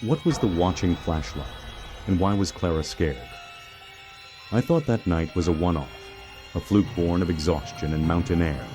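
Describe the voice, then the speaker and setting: Male horror narrator, low-pitched, measured and dramatic first-person storytelling over background music. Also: lively intonation.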